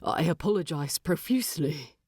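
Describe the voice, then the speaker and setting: A woman voicing a proud, polite male dwarf in an audiobook, with a formal, measured manner. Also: low voice, animated, darkish tone, slightly rough, dry recording, clean studio sound, voice pushed down. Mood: apologetic. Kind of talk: audiobook character voice.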